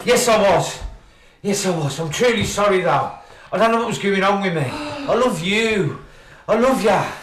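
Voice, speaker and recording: An actor playing a remorseful cheating boyfriend, begging and apologetic. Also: male voice, high-pitched, lively intonation, normal speed, fairly bright tone, echoey room, far from the mic, a little hiss or hum. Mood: pleading.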